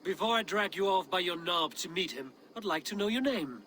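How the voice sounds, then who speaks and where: Game casting: steady Norse war chief, commanding and blunt, sharp-edged voice, slightly high. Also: male voice, brisk, slight background noise. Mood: gruff, impatient. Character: stern, blunt, steady Viking warrior chieftain, adult male. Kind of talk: scripted video game drama acting.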